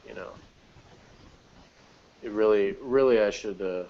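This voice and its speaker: A man's voice: lowish voice, measured pace. An American man chatting casually as co-host of a TV review, in a wry, joking manner. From United States. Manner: wry, joking, often chuckling. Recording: dull, lo-fi audio with clear noise.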